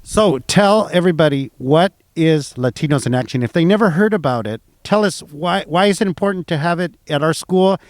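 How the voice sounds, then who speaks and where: A man of Salvadoran background interviewing a guest at a high school, excited and a little halting. His voice sits fairly high and the recording is close and dry. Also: no echo. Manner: enthusiastic, stumbling restarts. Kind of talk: interview hosting.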